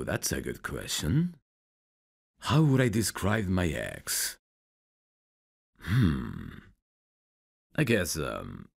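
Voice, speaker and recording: Guy playing a teasing flirty dude for asmr roleplay, slow drawn out talk, gravelly n husky, intimate. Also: a bass voice, full character voice. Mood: reflective, warm.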